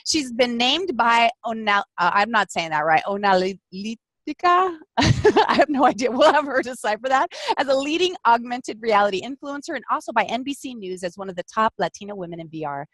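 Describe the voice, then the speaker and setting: A woman's voice: on the high side, animated, a little fast, a bit bright, dry recording. A woman hosting a live-streamed podcast interview, bubbly and gushing, often laughing. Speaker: podcast host.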